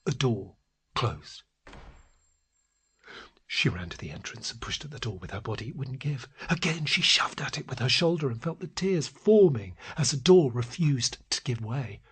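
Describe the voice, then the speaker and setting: A man with a husky, breathy voice narrating a literary story in a deliberate, dramatic way. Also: mid-range voice, animated, a bit bright.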